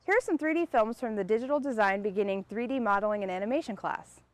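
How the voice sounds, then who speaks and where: A female host with a fairly high voice reads a scripted introduction. Her delivery moves along quickly. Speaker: show host.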